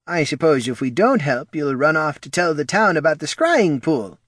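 A man's voice: a little high, sing-song, normal speed, fairly bright tone, no room sound, clean studio sound, pitched above the performer's own voice. A man voicing a haughty, sour old female mage counselor in a fantasy audiobook, with a stern, sardonic manner.

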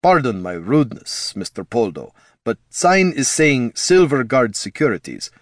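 Giant menacing growly dude, thick russian-ish accent, slow and polite, storybook character. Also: male voice, medium pitch, big pitch swings, dry recording, clean studio sound. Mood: slow, looming. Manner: slow, heavy, broken grammar. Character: huge menacing man, werebear, slow and formal.

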